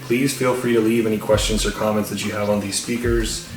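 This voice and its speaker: A man giving a casual, unscripted gear review as channel host, halting and rambling, often repeating his words. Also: fairly low pitch, little pitch movement. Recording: roomy echo, distant mic, faint music.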